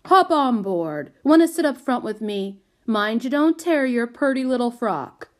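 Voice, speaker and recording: A woman's voice: fairly high pitch, animated, measured pace, pitched above the performer's own voice. Woman doing a growly rustic carter man. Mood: doting. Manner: rustic, folksy speech. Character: sour, growling male carter, softened by flattery.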